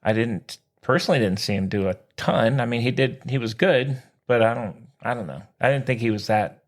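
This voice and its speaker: A man's voice: mid-range voice, moderate pace. A man co-hosting a sports podcast in casual banter, with an informal, exclamatory, slangy way of talking.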